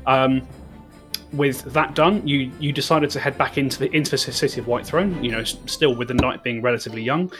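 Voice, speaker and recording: A man's voice: medium pitch, a little fast, music in the background. A man running a tabletop game as game master, narrating the scene with many repeats and restarts. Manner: stammering, repeats words, self-deprecating.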